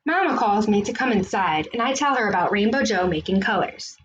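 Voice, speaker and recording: A woman reading a children's picture book aloud in an expressive storytelling style. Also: mid-range voice, fairly quick, muffled recording, background noise.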